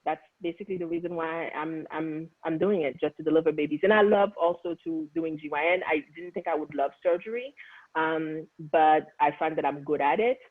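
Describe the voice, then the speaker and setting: A man from Haiti with a Haitian accent, an OB-GYN physician talking earnestly on a conference panel with many pauses and fillers. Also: fairly high pitch. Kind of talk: panel conversation. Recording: tinny phone-like line.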